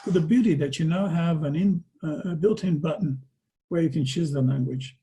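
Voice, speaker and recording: A man's voice: a little high, moderate pace, dark tone, poor audio. A man with a Portuguese accent, a native Portuguese speaker, giving a conversational presentation over a video call and often ending his points with a tag question. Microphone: video call microphone.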